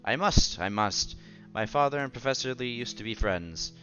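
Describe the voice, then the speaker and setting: A man acts out an effusive scholarly gentleman from a visual novel. He speaks formally and flatteringly, in a bright, forceful voice over music, with slightly dull sound. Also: mid-range voice, moderate pace. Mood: effusive, courteous. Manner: formal, polite, eager to flatter.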